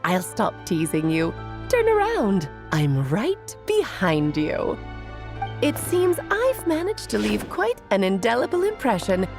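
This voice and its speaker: A woman's voice: mid-range voice, wildly swooping pitch, unhurried, darkish tone, faint music behind. A woman voicing a playful, self-admiring great mage in a video game, with a theatrical, teasing, breezy manner. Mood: teasing, proud.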